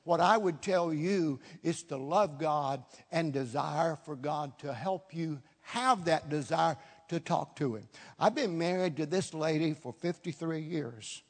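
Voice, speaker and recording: A man in his seventies delivers a charismatic sermon in a notably high-pitched voice. He repeats phrases for emphasis, and his words ring with the long reverb of a hall. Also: measured pace. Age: 70s. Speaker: preacher.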